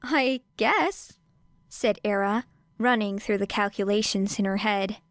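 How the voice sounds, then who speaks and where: Woman reading an audiobook story, smooth clear voice, dry close mic. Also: mid-range voice, flat delivery, moderate pace, no room sound. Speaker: audiobook reader. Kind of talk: scripted fiction narration.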